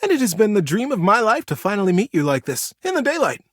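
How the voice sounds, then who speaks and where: Man putting on an excitable high-voiced young beaver builder. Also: quick pace, no room sound, clean studio sound, exaggerated voice. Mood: eager, proud. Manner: eager, effusive, grandiose. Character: jubilant, idealistic young male beaver builder.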